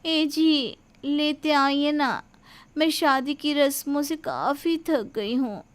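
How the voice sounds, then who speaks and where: Lazy daughter-in-law, female performer, animated story: high, whiny, exaggerated, sly. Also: takes it slowly. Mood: whiny, pleading. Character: lazy, sly young daughter-in-law full of whining excuses. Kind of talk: animated moral storybook acting.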